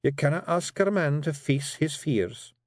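A man voicing a sharp Scottish clan matriarch. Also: on the high side, expressive, no echo, clean studio sound, voice pushed up. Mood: coaxing, snapping. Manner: brisk, coaxing.

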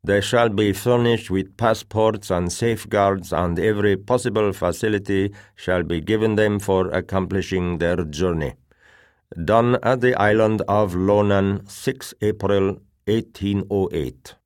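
A man's voice: on the low side, unhurried, a little mellow, clean tone, no echo, clean studio sound, pitched above the performer's own voice, natural voice. A man voicing a droning officer who formally reads out a document in an audiobook. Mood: official. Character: droning male officer reading out a formal agreement. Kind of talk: dramatized audiobook reading.

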